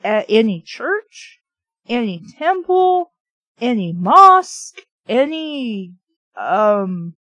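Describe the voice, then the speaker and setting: A woman's voice: fairly high pitch, dry recording. A woman reading a novel aloud and breaking off for frank, informal commentary in an amateur reviewer's style. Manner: informal, frank asides, mild swearing. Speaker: amateur book reviewer and reader.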